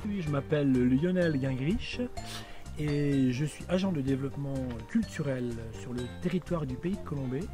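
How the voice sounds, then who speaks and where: A man who works as a cultural development officer, explaining his work in an earnest, clear way during an interview. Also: a little high, moderate pace, music in the background.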